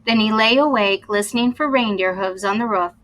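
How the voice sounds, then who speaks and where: A woman's voice: mid-range voice, brisk, fairly bright tone, rough recording. A woman reading a children's story aloud expressively, as a teacher would, explaining to young listeners as she goes.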